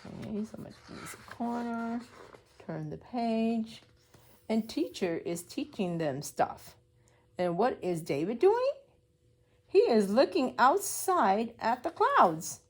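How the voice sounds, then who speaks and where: A woman's voice: fairly high pitch, sing-song, takes it slowly, a little hiss or hum. A woman preschool teacher reading a story aloud to young children, explaining as she goes and asking simple questions.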